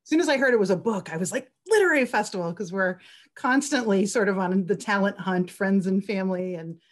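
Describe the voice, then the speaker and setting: A woman's voice: mid-range voice, brisk. A woman speaking on a video call as a festival organizer and writer, chatting and reading her essay aloud.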